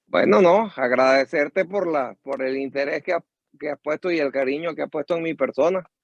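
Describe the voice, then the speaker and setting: Older Venezuelan guest, gravel in a higher voice, slow reflective storyteller. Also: male voice, dry recording, lo-fi sound. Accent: Venezuelan Spanish. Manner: measured, reflective storytelling with pauses and restarts. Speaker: guest musician and teacher. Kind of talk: interview, storytelling.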